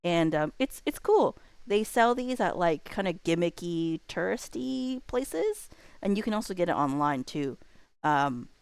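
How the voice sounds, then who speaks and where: A woman livestream host chatting casually, rambling along and thinking out loud. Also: lowish voice, lively intonation, measured pace, gravelly, clear, resonant tone, no echo.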